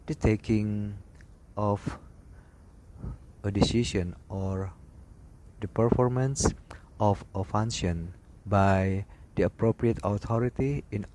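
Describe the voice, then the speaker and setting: A man's voice: lowish voice, poor audio. A man reading out a scripted lesson as an instructor, in broken phrases with stumbles over words. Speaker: instructor reading aviation regulations. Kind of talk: read-aloud scripted lesson narration. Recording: loud background noise with clicks.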